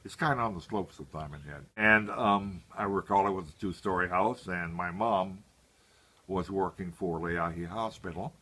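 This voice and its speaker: A man in his seventies from Hawaii recalls his life in a very low register with a bright, forceful edge. He speaks deliberately, halting often and leaving long pauses as he remembers. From Hawaii, United States. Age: 70s. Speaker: interviewee, grandfather. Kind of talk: unscripted family reminiscence.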